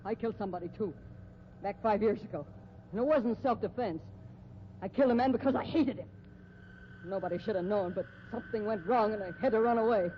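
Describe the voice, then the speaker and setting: An actress playing a desperate fugitive murderess in a 1950s radio mystery, melodramatic and halting. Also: female voice, medium pitch, lightly acted. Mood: desperate, confessing. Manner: melodramatic, halting, overwrought confession. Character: desperate fugitive murderess posing as circus bearded lady. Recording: muffled, noisy old radio recording.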